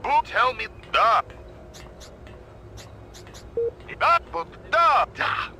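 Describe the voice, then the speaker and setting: Casting: theatrical Russian comrade, thick-worded broken English, high and very bright, game cutscene. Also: male voice, sing-song, takes it slowly, slight background noise, exaggerated voice. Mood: excited. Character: excitable, melodramatic Russian cosmonaut comrade. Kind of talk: video game cutscene acting.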